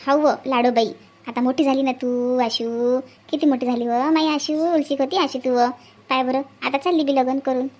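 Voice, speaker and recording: Lady doing a doting village mom for a cartoon, highish voice, varhadi marathi accent, nags with lots of questions. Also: fairly even intonation, normal speed, a little mellow, slight background noise, lightly acted. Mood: tender, resigned. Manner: homely, scolding and fussing with rhetorical questions. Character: doting, grumbling middle-aged village mother of a bride-to-be. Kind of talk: homemade cartoon family skit acting.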